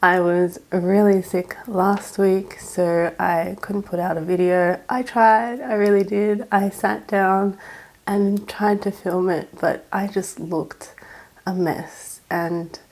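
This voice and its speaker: Raspy-voiced Australian woman vlogger. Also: medium pitch, steady tone, slow pace, darkish tone. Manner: chatty, practical step-by-step tips. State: raspy voice from a cold. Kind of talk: casual advice vlog.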